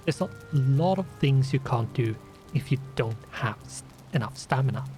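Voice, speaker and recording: A man with a Scandinavian accent, sniffly from a runny nose, talking slowly through a game he plays. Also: medium pitch, expressive, a little mellow, faint music behind. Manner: slow, deliberate, with restarts and fillers. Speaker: gaming channel host. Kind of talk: casual let's play commentary.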